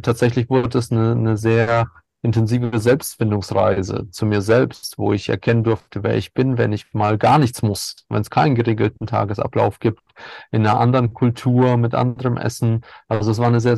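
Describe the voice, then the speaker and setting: A man in his thirties, raised in Germany, chatting in a relaxed way as an interview guest, laughing and using many fillers. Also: medium pitch, moderate pace, vocal fry, no room sound. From Ukraine, raised in Germany. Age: 30s. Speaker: guest, cacao entrepreneur. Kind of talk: casual interview talk. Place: indoors at night, insects heard outside.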